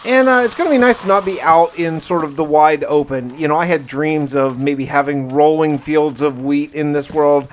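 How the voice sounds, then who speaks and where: A man's voice: a little high, normal speed, hardly any pauses, slight background noise. A man hosting a gaming video, giving casual first-person commentary with folksy exclamations and mild slang.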